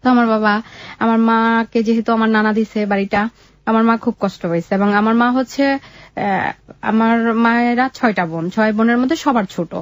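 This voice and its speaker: A young woman with a Bangladeshi accent telling her life story in an interview, in a halting, colloquial way. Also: a little high, steady tone, dry recording, muffled recording.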